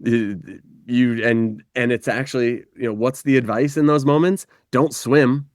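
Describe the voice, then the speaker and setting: A man who is a guest on a podcast, speaking reflectively and reaching for images, his pace moving between slow and quick. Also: medium pitch, fairly even intonation, dry recording, clean studio sound. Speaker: podcast guest, life guide. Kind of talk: reflective conversation.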